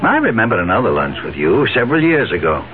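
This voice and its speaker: An actor playing an assured, genial older company chief in a radio drama, formal and measured. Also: male voice, fairly low pitch, sing-song. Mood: genial, confident. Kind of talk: 1970s radio drama acting. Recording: tinny, muffled 1970s radio recording.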